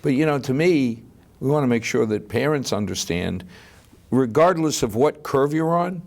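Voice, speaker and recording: A man's voice: mid-range voice, animated, moderate pace, vocal fry, a little mellow. A man whose first language is Portuguese, hosting a casual local talk show and telling stories with quoted asides. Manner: chatty, storytelling with quoted asides. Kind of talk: casual chat.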